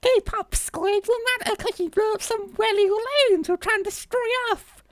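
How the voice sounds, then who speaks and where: Man shrieking as a squeaky, brash girl. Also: moderate pace, fairly bright tone, no echo, clean studio sound. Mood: mocking. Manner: mouthy, indignant, slangy. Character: brash, scornful young London woman, squeaky caricature.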